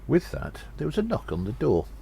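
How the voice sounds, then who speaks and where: A man's voice: mid-range voice, huge pitch swings, a little fast, gravelly, dark tone, a little hiss or hum. A man voicing a small gnome adventurer who recounts battles with theatrical gravity in an audio drama. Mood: confiding, genial. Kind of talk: audio drama storytelling, first-person narration.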